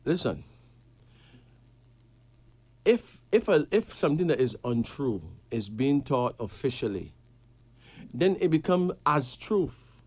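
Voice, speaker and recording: A man's voice: mid-range voice, sing-song, takes it slowly, pauses often, darkish tone, breathy edge. A man from Barbados with a Caribbean accent, reasoning casually in conversation, emphatic and repeating his phrases to drive points home. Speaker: talk show host.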